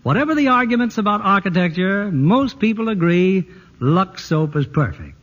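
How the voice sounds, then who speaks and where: A man's voice: sits high, expressive, moderate pace, a bit bright. A man announcing and narrating in the polished, theatrical scripted style of 1940s radio. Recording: muffled old radio broadcast. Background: music.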